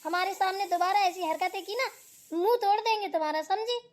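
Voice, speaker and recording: A woman's voice: very high-pitched, fairly quick, heavy background noise. A woman voicing a bossy, scolding elder sister-in-law in a Hindi family drama cartoon, hectoring and preachy. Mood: furious scolding. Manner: hectoring, sharp-tongued, preachy.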